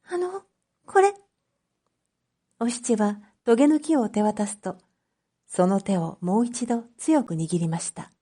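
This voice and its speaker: A woman reading a story aloud as a narrator, in a measured, clearly phrased storytelling manner for reading practice. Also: medium pitch, lively intonation, warm tone. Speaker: narrator, Japanese reading teacher.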